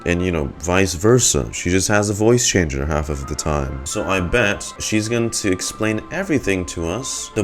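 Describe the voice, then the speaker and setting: A man streaming his live reaction to a game story in a casual, informal commentary style. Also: low-pitched. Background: game music.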